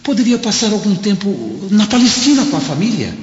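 Echoing Roman nobleman, lively intonation. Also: high-pitched, fairly quick. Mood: grave, advising. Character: pragmatic Roman nobleman, loyal male friend, cautious adviser. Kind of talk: radio drama acting. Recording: echoey hall reverb, dull audio.

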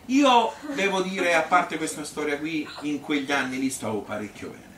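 A man's voice: fairly high pitch, lively intonation, normal speed, fairly bright tone. A male actor playing a chatty Tuscan man who reminisces about his youth in a colloquial, rambling stage monologue, full of repetitions and appeals for agreement. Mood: wistful. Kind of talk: spontaneous-sounding theatrical storytelling. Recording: echoey room, distant mic, faint noise.

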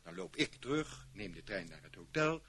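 A man's voice: medium pitch, sing-song, moderate pace, vocal fry, a bit bright. An actor in a radio play playing an uneasy man hired as a spy's stand-in, talking plainly and matter-of-factly. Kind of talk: radio drama acting. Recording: old radio play, faint noise.

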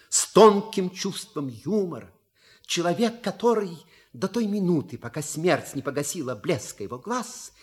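Radio comedy butler: dignified, deferential, grandiloquent, very animated male melody. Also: sits high. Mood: solemn, grandiloquent. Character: dignified, unctuous manservant, loyal and ceremonious. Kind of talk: theatrical radio play acting.

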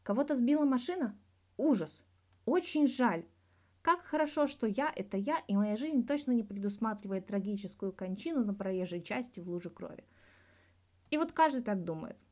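A woman's voice: fairly high pitch, animated, normal speed, dark tone. A woman voicing a frank, colloquial male hockey star answering in an audiobook interview. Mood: wry. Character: blunt, reflective male Russian hockey star giving an interview.

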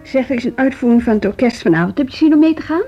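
An actress playing a frank, lively wife in a naturalistic Dutch radio drama. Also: female voice, sits high, racing, lo-fi sound, over music. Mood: gently reproachful. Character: Hanneke, frank, lively wife nudging her tired husband.

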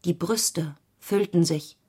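Eine Schauspielerin spricht in einem literarischen Hörspiel eine grüblerische, knappe, fragende innere Stimme einer Frau. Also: female voice, on the low side, slow pace, warm tone, breathy edge, full character voice. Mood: brooding. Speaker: second narrating voice in a literary radio play. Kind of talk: literary radio drama narration.

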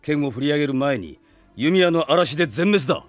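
An actor playing a curt, skeptical, world-weary mercenary in a Japanese radio drama, speaking roughly and plainly. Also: male voice, fairly high pitch, lively intonation, normal speed, soft background music, lightly acted. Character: blunt, gruff, world-weary mercenary fighter.

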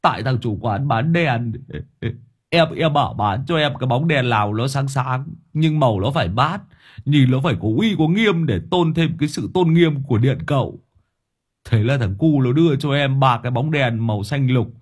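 A man's voice: mid-range voice, animated, normal speed, breathy edge, pitched above the performer's own voice. A man voicing a dim-witted, cheerful young servant who stammers out excuses. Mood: defensive.

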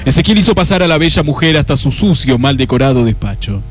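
Male narrator, theatrical and lively in pitch, comic radio drama over music. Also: fast talker.

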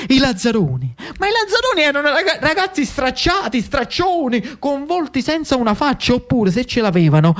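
Narrator: Neapolitan male actor for dramatic monologue, emphatic phrasing, stretched words and rhetorical pauses. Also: high voice. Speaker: actor and storyteller.

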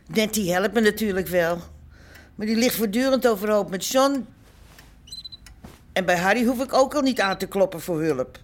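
An actress playing a weary, middle-aged, neglected wife in a radio drama, resigned and downbeat. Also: female voice, medium pitch, moderate pace, pauses often, slight background noise, lightly acted. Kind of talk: naturalistic radio drama acting.

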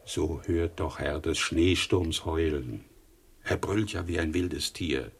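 Devoted old-world servant, deep n raspy, slow, humble, pleading with his master, radio drama. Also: slight background noise, exaggerated voice. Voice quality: husky, gravelly.

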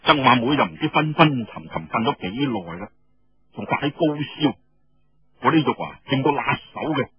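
A man narrating a dramatic traditional story in an expressive, emphatic way, with a Cantonese accent. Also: mid-range voice, moderate pace, breathy edge. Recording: dull lo-fi radio sound with room echo.

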